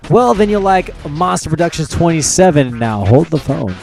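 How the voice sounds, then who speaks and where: A man's voice: a little high, big pitch swings, normal speed, gravelly, a little mellow, soft background music. A man hosting a casual podcast chat, talking in an informal, agreeable way. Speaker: podcast host.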